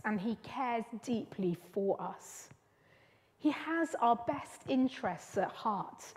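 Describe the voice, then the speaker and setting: A middle-aged woman giving a sermon at a church lectern, speaking in an earnest, gentle teaching manner with measured pauses. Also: on the high side. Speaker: preacher leading a church service. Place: church hall. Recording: long church reverb.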